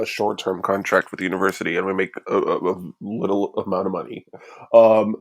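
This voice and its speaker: A young man is a guest on a podcast. His voice is low in pitch, and he talks in a loose, casual, conversational way. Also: a little mellow. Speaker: podcast guest, student tutor. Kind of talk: casual chat.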